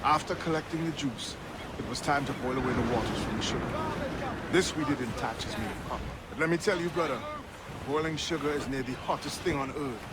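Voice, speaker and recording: An actor playing a steady, thoughtful pirate quartermaster in a video game, with measured, formal phrasing. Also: male voice, medium pitch, heavy background noise, natural voice. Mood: grave, explaining. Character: steady, thoughtful male pirate quartermaster, former plantation worker. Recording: loud ship and outdoor ambience.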